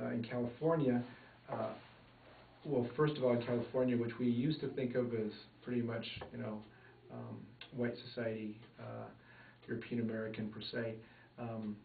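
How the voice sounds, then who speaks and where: A man from Minnesota giving an oral history interview, talking in a thoughtful, measured way with many fillers. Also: medium pitch, vocal fry, a little hiss or hum. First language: English. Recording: echoey room, far mic, phone-like band.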